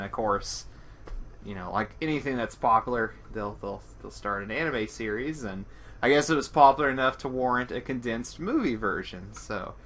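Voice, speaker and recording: A man's voice: medium pitch, sharp tone. A man co-hosting a podcast, talking through a film in a casual, rambling, self-deprecating way. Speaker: podcast co-host.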